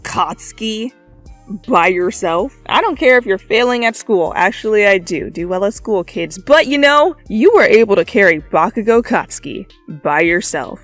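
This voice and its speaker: A woman's voice: medium pitch, animated, normal speed, a bit bright, no room sound. A woman reading fanfiction as a channel host, with dramatic narration and goofy, self-mocking, casual asides. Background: faint music.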